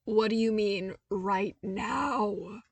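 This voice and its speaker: Woman voicing slow, anxious young man. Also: medium pitch, gravelly, husky, dry recording, full character voice. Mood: panicked, pleading. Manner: hesitant, desperate pleading. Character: anxious young man, flustered, sweary friend.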